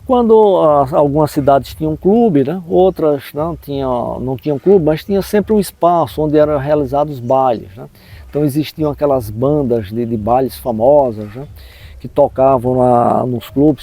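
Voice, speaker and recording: A man's voice: medium pitch, dark tone. A man in his sixties with a northeastern Brazilian accent, reminiscing in an explanatory way in an interview, often adding tag questions. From backlands of northeastern Brazil. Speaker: storyteller recalling local life, professor.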